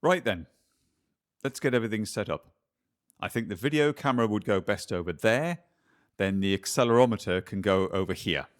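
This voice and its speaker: A man playing a methodical, skeptical investigator in a radio play, brisk and a little lecturing. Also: fairly low pitch, lightly acted. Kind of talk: community radio play acting.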